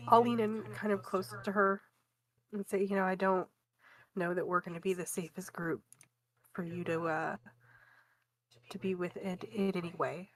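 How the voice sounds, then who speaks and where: A woman's voice: on the low side, fairly even intonation, normal speed, pauses often. A woman playing a tabletop roleplaying game, casually switching between describing actions and speaking in character.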